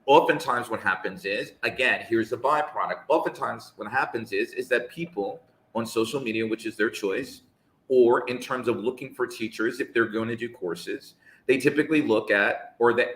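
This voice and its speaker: A man speaking in a casual live conversation, measured and emphatic, making points with rhetorical questions. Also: mid-range voice, a bit bright, reverberant.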